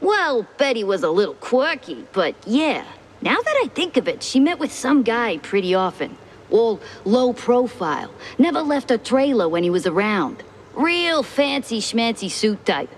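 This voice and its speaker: A woman voicing a brash, excitable explosives worker from a film lot in a cartoon noir game, chatty and slangy. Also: on the high side, measured pace. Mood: cheeky, gossipy. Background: music.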